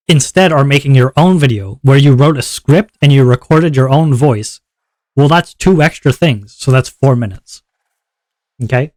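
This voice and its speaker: A man streaming live, explaining his event's rules to chat in a casual, upbeat way and checking in with viewers often. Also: no room sound. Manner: relaxed lower voice after a viewer redeem.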